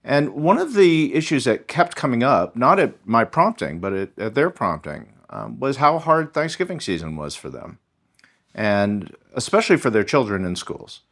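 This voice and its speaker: A man, a history professor, speaking as a podcast guest in a thoughtful, measured way with frequent ums and small restarts. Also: mid-range voice, sing-song, a bit bright. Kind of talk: conversational podcast interview.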